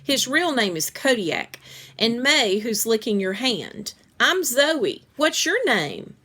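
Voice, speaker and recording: A woman's voice: a little high, big pitch swings, slow pace, pushed and bright, voice pushed up, heavily acted. A woman voicing a friendly, earnest young girl in a children's story, with a reassuring manner.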